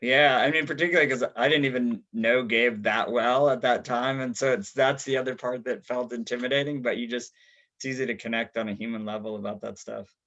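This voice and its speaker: Bright-voiced man, flat delivery. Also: mid-range voice, a little fast, dull audio. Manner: relaxed, with frequent fillers. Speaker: scientist and podcast guest. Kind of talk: casual reflective chat.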